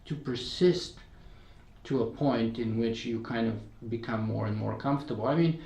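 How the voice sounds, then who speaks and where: A man's voice: medium pitch, normal speed, gravelly, darkish tone, echoey room. A man from Bulgaria with a Bulgarian accent, a guest in an interview who talks earnestly and often checks in with "right?" and "you know". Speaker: podcast creator, guest. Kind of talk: casual interview talk.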